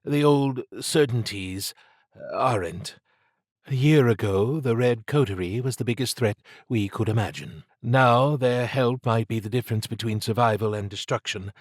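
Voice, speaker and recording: A man's voice: mid-range voice, normal speed, no echo, studio quality, lightly acted. A man voicing an authoritative, wry woman who commands a secret organisation, in audiobook dialogue. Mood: grave, resolute. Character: brisk, resolute woman commander of a secret foundation.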